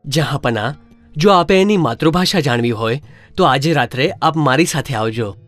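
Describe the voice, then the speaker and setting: A man's voice: medium pitch, lively intonation, moderate pace, a bit bright, faint music behind, natural voice. A man voicing Birbal, a clever, confident male courtier who explains things plainly in a cartoon story.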